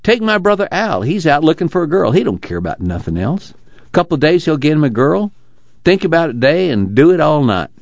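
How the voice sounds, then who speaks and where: A reader acts a plain-spoken young farm laborer with a drawl. He is blunt and skeptical, his pitch moving freely in this audiobook. The recording is dry and a little muffled. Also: big pitch swings, brisk, vocal fry, dry recording. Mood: blunt, resigned. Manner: drawling, ungrammatical rural speech.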